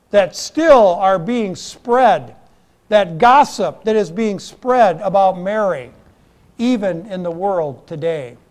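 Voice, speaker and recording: A middle-aged American man preaching a sermon in church, deliberate and emphatic, in short phrases with pauses. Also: high voice, darkish tone. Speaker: Lutheran pastor. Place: church, indoors at a pulpit.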